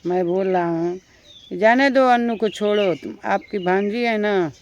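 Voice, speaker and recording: A man's voice: high-pitched, unhurried. A man with a Bhojpuri accent talking casually in a rambling, repetitive way. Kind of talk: casual conversational commentary. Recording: dull lo-fi sound, birds chirping.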